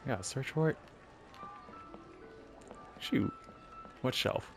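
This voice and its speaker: Very low-voiced woman game streamer. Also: animated, extremely slow, creaky, music in the background. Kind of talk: casual gameplay commentary.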